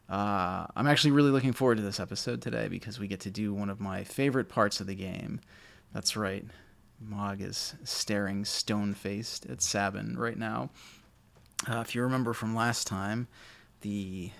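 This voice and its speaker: A man with a naturally low voice, casually commentating a video game he loves, a little mumbly and self-deprecating. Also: vocal fry. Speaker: let's play host.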